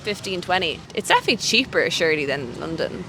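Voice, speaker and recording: Woman with a bright, forward voice, candid and chatty in a street interview. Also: lowish voice, fast, a little hiss or hum. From Ireland. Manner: candid, lively, filler-heavy. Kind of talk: casual chat.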